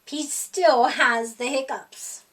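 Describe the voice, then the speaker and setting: A woman's voice: sits high, rough recording. A middle-aged woman reads a children's picture book expressively, as if to small children at a library storytime. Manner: animated, slow, deliberate storytelling for small children. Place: small room indoors.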